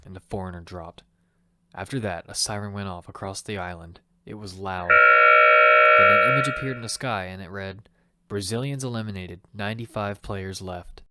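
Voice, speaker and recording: Casting: man to play determined young tournament fighter and first-person narrator, lowish voice, audio fiction, noisy homemade recording. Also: normal speed, lightly acted. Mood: dramatic narration. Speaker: narrator and lead actor. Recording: clearly noisy amateur recording with clicks.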